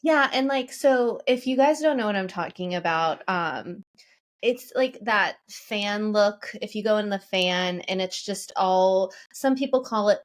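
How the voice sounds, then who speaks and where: A woman's voice: medium pitch, unhurried. A young woman with a mid-range voice chats casually as a podcast co-host. She rambles easily, leaning on fillers and small laughs. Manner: relaxed, rambling, filler-heavy. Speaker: podcast co-host, marketing officer. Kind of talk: casual chat.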